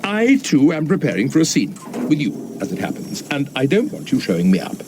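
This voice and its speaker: An actor playing a pompous, self-important museum curator who speaks in a wordy, theatrical, over-enunciated way. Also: male voice, fairly high pitch, wildly swooping pitch, a little fast, noisy background. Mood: bossy, fussy. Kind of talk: sitcom acting.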